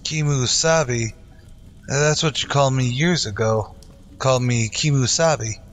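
A man's voice: mid-range voice, measured pace, natural voice. A man playing a gritty, loyal, wounded Texas Ranger in a radio western. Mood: earnest, grieving.

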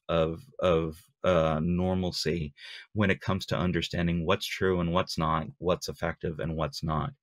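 Deep-voiced middle-aged man giving a talk. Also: dry recording, studio quality. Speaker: conference speaker. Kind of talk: lightning talk to an online audience. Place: small home room with bookshelves, indoors. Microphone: webcam video call.

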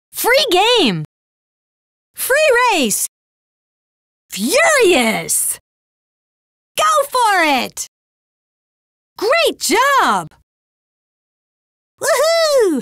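A peppy female arcade race announcer, very high and bright. Her callouts leap up and down in pitch, recorded dry and close. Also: dry recording, heavily acted. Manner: cheering energetic exclamations. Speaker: game announcer. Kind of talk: excited arcade racing callouts.

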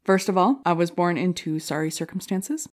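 A woman's voice: fairly low pitch, normal speed, natural voice. Brash, self-deprecating stand-up comedienne played by a woman. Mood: wry, joking. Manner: jokey, rhetorical, punchline-driven delivery. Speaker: comedy contest contestant. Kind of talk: stand-up comedy routine in an audio drama.

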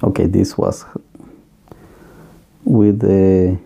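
Gravelly, dark-voiced man explaining workshop gear. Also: low-pitched, little pitch movement. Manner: halting, plain advice with many fillers and restarts. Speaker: electronics workshop host. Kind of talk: casual hands-on equipment walkthrough. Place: electronics workbench room, indoors.

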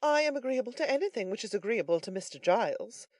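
A man's voice: extremely high pitch, expressive, fast, dry recording, clean studio sound, pitched above the performer's own voice. A man voicing a short, very polite, frightened servant in an audiobook, nervous and deferential. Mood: nervous deference.

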